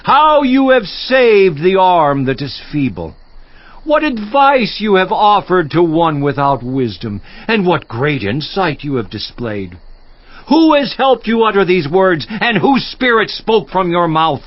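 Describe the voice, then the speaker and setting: A man's voice: sits high, sing-song, measured pace, no echo. An actor playing an anguished, defiant righteous man in a theatrical, emphatic scripture monologue. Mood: bitter sarcasm. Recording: slightly muffled audio.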